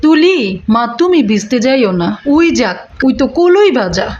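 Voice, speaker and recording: A woman voicing a scolding, exasperated mother in a comedic cartoon, with a colloquial Bangladeshi accent and a sharp-tongued, nagging manner. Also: sits high, very animated, moderate pace. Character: scolding, exasperated Bengali mother who threatens her kids. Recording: muffled audio with clear noise.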